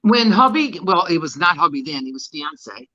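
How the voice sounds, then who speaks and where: A woman's voice: on the low side, very animated, normal speed, bright, forceful tone, no room sound, muffled recording. A woman with a Newfoundland accent, casually telling anecdotes in a group chat. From Newfoundland, Canada.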